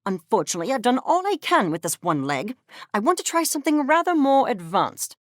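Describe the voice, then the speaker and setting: Woman doing a snooty obsessed little scientist critter from a video game, swoopy pitch, super wordy and impatient, bone dry sound. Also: very animated, a little fast, no room sound, natural voice. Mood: brisk, businesslike. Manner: pompous, clinical, wordy, precise diction. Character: arrogant, obsessed mad-scientist furry creature, pompous and impatient. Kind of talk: theatrical game character acting.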